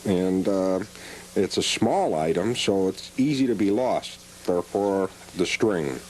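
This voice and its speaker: Low-voiced man explaining collectibles, hissy tape. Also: lively intonation, a little mellow, poor audio. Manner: measured, explains at length with many "uh"s. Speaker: radio premium collector guest. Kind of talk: casual TV chat about collectibles. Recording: old 1980s cable TV audio with hiss.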